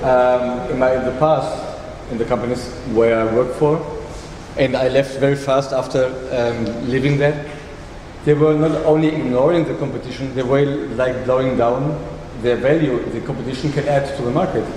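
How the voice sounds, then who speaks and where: A man from the audience adding a comment in a halting, word-searching way. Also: mid-range voice, fairly even intonation, a little mellow. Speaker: workshop participant. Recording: echoey hall, faint noise.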